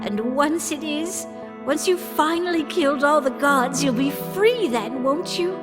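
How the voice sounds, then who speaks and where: Lady doing a gentle worried wife in a fantasy drama, unhurried, music behind her. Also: high-pitched. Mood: tender, concerned. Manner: gentle, measured, intimate. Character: tender, concerned wife of a god butcher. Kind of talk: scripted fantasy audio drama.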